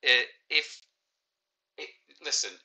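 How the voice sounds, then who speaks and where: Bright joking Mancunian teacher. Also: male voice, takes it slowly. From Manchester, England. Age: 40s. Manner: joking, self-mocking banter. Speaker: teacher hosting a live quiz. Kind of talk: casual livestreamed lesson.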